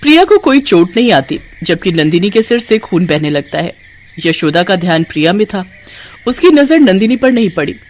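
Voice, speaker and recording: A woman's voice: on the low side, sing-song, normal speed, a little hiss or hum. Female narrator for scripted bedtime moral stories aimed at children. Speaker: story narrator.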